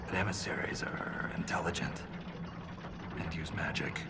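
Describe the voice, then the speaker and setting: An actor playing a gruff, world-weary male dwarven soldier with dry, terse, sardonic delivery in a fantasy game. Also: sits low, normal speed, very noisy. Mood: matter-of-fact. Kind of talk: dramatic game cutscene dialogue. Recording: long reverberant hall, music underneath.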